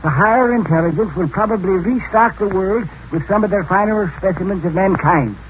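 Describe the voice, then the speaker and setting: A mysterious old hermit of the wilds, played by a man, folksy and philosophical, his drawl set fairly high. He sounds like an old radio broadcast with a faint hiss behind him. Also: brisk, close to an everyday voice, poor audio. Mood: musing. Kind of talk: 1940s radio acting.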